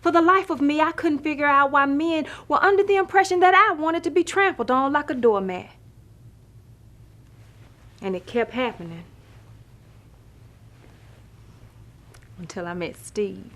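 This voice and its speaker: An actress playing a wry, love-struck woman who tells her life story in an expressive, sassy, conversational stage monologue. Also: mid-range voice, natural voice. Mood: sorrowful, reflective. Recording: music playing behind the voice.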